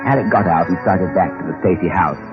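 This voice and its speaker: A man's voice: sits low, quick pace, close to an everyday voice. An actor playing a cool, wry, urbane private detective who also narrates his case in an old radio drama. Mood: tense storytelling. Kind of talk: 1940s-50s radio drama acting and narration. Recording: dull old radio recording, music behind.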